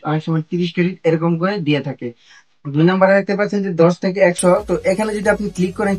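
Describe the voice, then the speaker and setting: Very fast-talking man giving a tutorial. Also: high-pitched. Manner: repetitive, instructional, step-by-step phrasing. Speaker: tech tutorial host. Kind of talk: step-by-step app tutorial voice-over.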